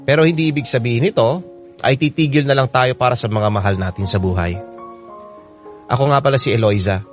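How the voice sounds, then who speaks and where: A man narrating a listener's letter as a scripted, first-person radio drama story. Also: mid-range voice. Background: music bed.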